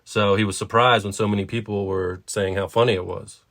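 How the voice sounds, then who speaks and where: A man with a fairly low voice speaks as a podcast co-host. He discusses writing conversationally, explaining his thoughts in a reflective manner. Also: steady tone, a little fast, a bit bright.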